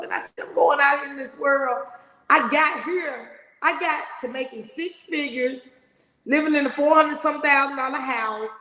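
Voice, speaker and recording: A middle-aged woman hosting a podcast, talking loudly and emphatically in a big voice. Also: high-pitched. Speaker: podcast host. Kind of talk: motivational casual talk. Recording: echoey room with long reverb.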